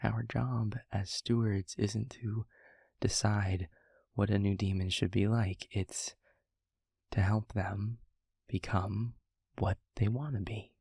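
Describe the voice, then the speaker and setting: A gentle demon mentor played by a man confides in a low, very husky murmur. He speaks softly and haltingly, leaving long pauses, with heavy gravel in his voice. It is an intimate audio roleplay monologue, reflective and fond. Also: steady tone, dry recording, clean studio sound, exaggerated voice. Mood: tender, sincere.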